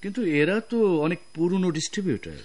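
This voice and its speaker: An actor playing a smug, sly general manager in a radio drama, hemming and talking with oily sarcasm. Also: male voice, high voice, a little fast, darkish tone. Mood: mocking.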